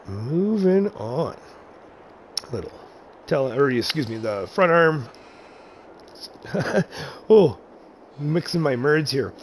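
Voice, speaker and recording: A man with a slightly raspy, congested voice, casually narrating a tour of his farm in a video game, often correcting himself and chuckling. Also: medium pitch, a little hiss or hum. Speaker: gaming channel host.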